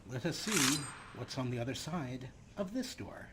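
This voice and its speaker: A man's voice: medium pitch, expressive, moderate pace. A man hosting a let's play with casual, jokey commentary and wry asides. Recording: loud game noise behind voice. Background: video game sound.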